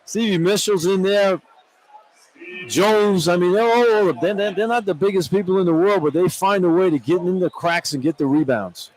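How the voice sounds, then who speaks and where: A man's voice: sits high, normal speed, darkish tone, poor audio. A man giving off-the-cuff radio color commentary on basketball in a folksy, colloquial and opinionated way. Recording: arena noise behind broadcast commentary. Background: arena crowd ambience.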